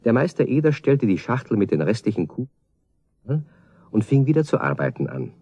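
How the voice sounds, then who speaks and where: A man's voice: medium pitch, sing-song, normal speed, dark tone, breathy edge. A man narrating a children's radio play as a scripted storybook tale, on an old record. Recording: dull, roomy 1970 record.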